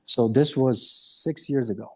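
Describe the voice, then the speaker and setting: A man's voice: medium pitch, measured pace, husky. A man in his late thirties, from Romania and raised in Austria, telling his story as a podcast guest in a candid, earnest way. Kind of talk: casual interview chat.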